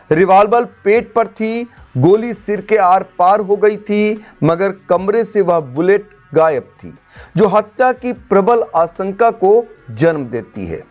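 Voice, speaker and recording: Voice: mid-range voice, darkish tone. News narrator over background music. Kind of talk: scripted crime news narration.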